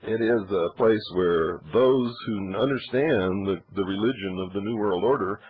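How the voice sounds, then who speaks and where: A man being interviewed as a guest, explaining his views deliberately and with emphasis, on phone-like radio audio. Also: fairly low pitch, slightly rough.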